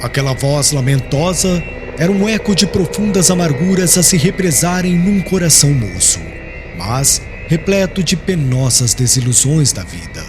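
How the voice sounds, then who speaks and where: Animated, high-pitched male narrator over music. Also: moderate pace. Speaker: audiobook narrator. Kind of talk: dramatic scripted narration of religious historical novel. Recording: music clearly behind the voice.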